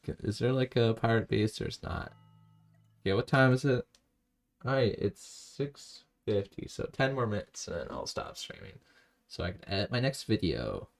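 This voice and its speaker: Low, gravelly man streaming a game. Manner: rambling low-key self-talk, reads chat aloud. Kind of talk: casual live-stream commentary while playing.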